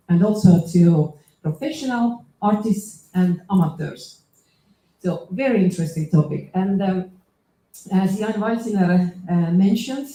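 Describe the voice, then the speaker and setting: A woman from Estonia with a very dark, mellow voice gives an academic conference talk in English, often halting on fillers and restarting her sentences. The sound carries a roomy echo from a lecture hall. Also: on the low side. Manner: hesitant, many fillers and restarts. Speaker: associate professor, conference speaker. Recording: echoey hall.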